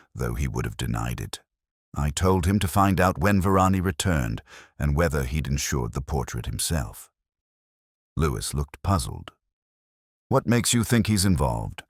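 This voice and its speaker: A man narrating a detective story in the first person as a brooding, cerebral investigator, in a measured, literary, melancholy manner. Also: extremely low pitch, no echo, clean studio sound. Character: brooding, cerebral male police detective narrating his case. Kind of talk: first-person scripted narration, slow-burning mystery.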